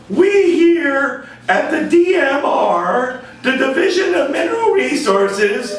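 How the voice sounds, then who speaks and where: A man's voice: very high voice, fairly bright tone, slight background noise, full character voice. A man voicing a smug, chuckling male regulator puppet in a satirical puppet show. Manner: chuckling, cheerfully callous. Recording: echoey room, mic far off.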